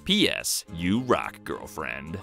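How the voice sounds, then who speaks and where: An upbeat cartoon plumber hero, played by a man, hosting playfully. His voice is bright and swings widely in pitch, chatty and friendly, over music. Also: huge pitch swings, slow, gravelly, heavily acted. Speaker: host. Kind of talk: fan cartoon dialogue.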